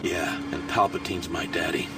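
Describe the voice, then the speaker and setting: Sardonic squad sergeant: low, gruff, animated, music blaring over him. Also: male voice, moderate pace. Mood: gruff, commanding. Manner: gruff, commanding, clipped. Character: gruff, sardonic male space-trooper sergeant. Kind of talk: sci-fi audio drama acting. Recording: distant voice under very loud music.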